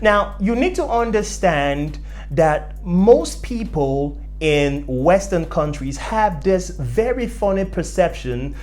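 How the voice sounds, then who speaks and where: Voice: medium pitch, big pitch swings, slow pace, darkish tone. A young adult with a Nigerian accent telling personal stories in an emphatic way, repeating phrases for effect. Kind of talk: animated storytelling to camera.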